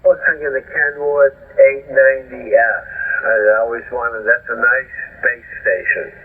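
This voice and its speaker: A man's voice: medium pitch, level tone, unhurried, breathy edge, phone-quality sound. A man chatting casually as an amateur radio operator over shortwave, rambling in a salty, folksy way. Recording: band-limited shortwave ham radio transmission.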